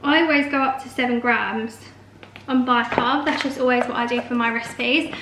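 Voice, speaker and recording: Female home-baking vlogger, high voice with slight hoarseness, casual and explanatory, roomy sound with faint noise. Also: steady tone, moderate pace. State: slightly hoarse from a long call. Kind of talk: casual chatty baking vlog narration. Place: home kitchen. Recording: echoey room, faint noise, clicks.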